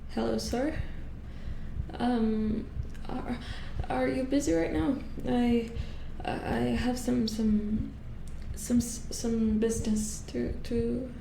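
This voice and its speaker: Female robot repair technician acted by a woman for ASMR horror roleplay; secretive and anxious, higher-pitched yet creaky, hushed, halting delivery. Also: reverberant, a little hiss or hum, heavily acted, low-quality recording. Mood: nervous, deferential. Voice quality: soft, breathy, often whispered. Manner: halting, stammering, repeating words. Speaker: ASMR performer.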